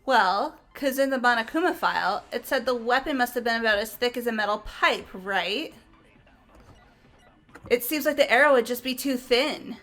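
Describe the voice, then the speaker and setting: A woman's voice: a little high, lively intonation, moderate pace, fairly bright tone. A woman voicing a frank, casual, questioning teenage girl in a fan-voiced game. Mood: doubtful. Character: frank, doubtful teenage girl, plain-spoken. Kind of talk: fan-voiced visual novel game acting.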